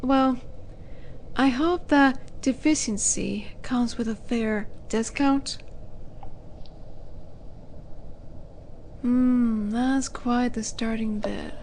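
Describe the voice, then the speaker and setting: A woman playing a flirty, condescending demon in an audio roleplay, teasing with unhurried menace and breathy asides. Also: on the high side, a little mellow, a little hiss or hum, poor audio. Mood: cool, businesslike. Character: flirty, teasing female demon, haughty would-be buyer of captives. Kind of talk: scripted audio roleplay monologue.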